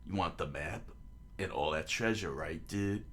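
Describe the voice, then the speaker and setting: A man's voice: low voice, unhurried, fairly bright tone, pitched below the performer's own voice. A man voicing an easygoing, eager young pirate captain with laid-back dude talk for a story reading. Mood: inviting.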